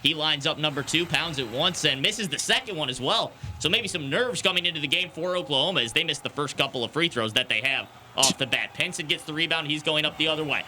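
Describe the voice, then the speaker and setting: A man's voice: a little high, fast, hardly any pauses, pushed and bright, a little hiss or hum. A young man delivering sports news and live play-by-play commentary as an anchor and commentator. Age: young adult.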